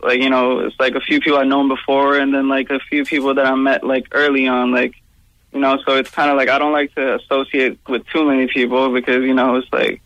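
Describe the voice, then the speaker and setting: A man's voice: medium pitch, flat delivery, a little fast, a bit bright, no echo, lo-fi sound. A young man, a guest musician, answering interview questions in a loose, casual way, filling his talk with "like" and "you know what I'm saying". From Pakistan-born, raised in Virginia and Toronto area.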